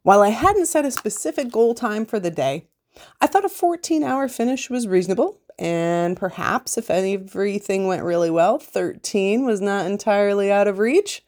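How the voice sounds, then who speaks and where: A woman's voice: medium pitch, lively intonation, moderate pace, a little mellow. A woman reading a scripted first-person account aloud as a podcast host.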